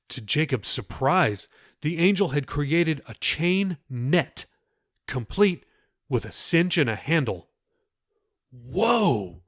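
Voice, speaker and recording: A man's voice: medium pitch, a bit bright, no room sound, clean studio sound. A man reading his own novel aloud as an audiobook, with dramatic shifts in pace. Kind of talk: audiobook narration.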